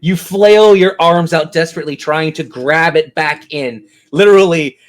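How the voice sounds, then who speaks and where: A man acting as game master, narrating and refereeing a tabletop game in a suspenseful, drawn-out way. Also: a little high.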